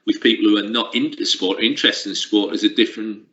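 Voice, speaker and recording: A man's voice: fairly low pitch, fast talker, bright, forceful tone. A middle-aged man, a sports coaching lecturer, answering interview questions in a reflective way with frequent restarts. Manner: reflective, uses many restarts and fillers. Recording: lo-fi roomy recording.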